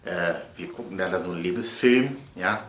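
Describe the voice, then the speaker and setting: A man's voice: deep voice, fairly quick, fairly bright tone, slight background noise. A man presenting in a casual, chatty way, rambling and emphatic, repeating phrases for effect. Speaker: presenter of his audio sketches. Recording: echoey room.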